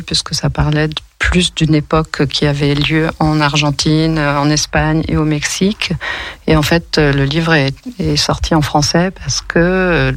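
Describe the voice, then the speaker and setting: A woman's voice: deep voice, no room sound. A middle-aged woman poet, a radio guest, telling her own story thoughtfully with frequent fillers. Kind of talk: casual radio conversation.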